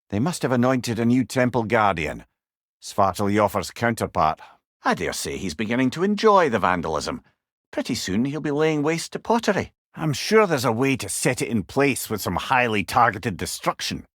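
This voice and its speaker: A man's voice: medium pitch, very animated, normal speed, fairly bright tone, no echo, studio quality. An actor playing a wise, witty old Scottish man, an avuncular storytelling companion in a video game, speaking in an articulate, wry way. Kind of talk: scripted video game voice lines.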